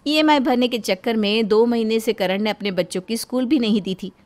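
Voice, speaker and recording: A woman's voice: a little high, brisk, darkish tone. A woman reading scripted narration for a moral story as its storyteller.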